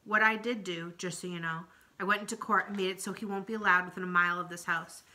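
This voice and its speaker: A woman reading aloud, voicing a weary, protective old grandfather in a low, tired way. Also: steady tone, fast, pushed and bright.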